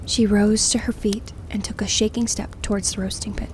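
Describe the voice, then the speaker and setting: A woman narrating scripted fiction in an expressive, suspenseful, dramatic way. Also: medium pitch, normal speed, in a whisper, rough recording. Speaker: story narrator.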